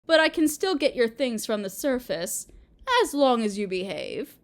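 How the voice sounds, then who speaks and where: A woman playing a doting, possessive Mother Earth goddess in a roleplay audio drama, sweet and cooing as if to a small child, with gentle menace. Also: a little high, lively intonation, normal speed, close to an everyday voice. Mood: delighted doting.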